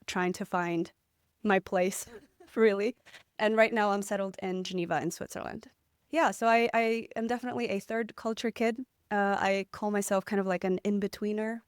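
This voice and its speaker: Smooth-voiced young woman from Poland. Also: mid-range voice, no room sound, studio quality. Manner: reflective, relaxed, laughs lightly. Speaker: guest, agency founder. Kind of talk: podcast interview.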